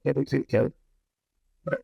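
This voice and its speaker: A man's voice: quick pace, mellow, no room sound. A man talking casually to viewers in a rambling, blunt product review, often repeating phrases for emphasis. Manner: opinionated, blunt.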